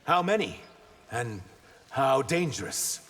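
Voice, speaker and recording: An actor playing an earnest, wary reptile-man warrior in a video game, husky and raspy. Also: mid-range voice, very animated, slow, fairly bright tone, heavily acted. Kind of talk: video game character dialogue. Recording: long hall-like reverb.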